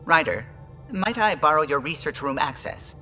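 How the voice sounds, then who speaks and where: An actor playing an earnest, eager alien pilot in a video game, polite and precise in speech. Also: male voice, on the high side, expressive, moderate pace, bright, forceful tone, in a large hall, faint music behind. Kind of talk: video game character acting.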